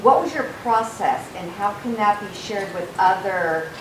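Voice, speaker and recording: A woman in the audience projects a loud voice to ask a question. The room echoes around her, and a rumbling noise runs underneath. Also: unhurried. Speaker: audience member asking a question. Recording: echoey room, noisy, mic far off.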